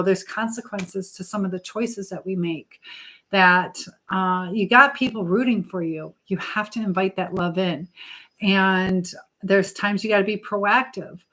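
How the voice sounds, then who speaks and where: A woman hosting a live chat, speaking earnestly and haltingly with pauses as she gives advice and encouragement to viewers. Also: mid-range voice, fairly bright tone. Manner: religious encouragement. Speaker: live stream host.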